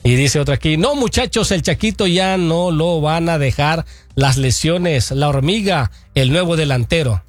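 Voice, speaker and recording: A man co-hosts a casual sports talk show, reading viewer messages aloud and backing up his partner with steady agreement. His voice sits slightly high. A faint music bed runs underneath. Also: normal speed. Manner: agreeable, frequent back-channel "ujú".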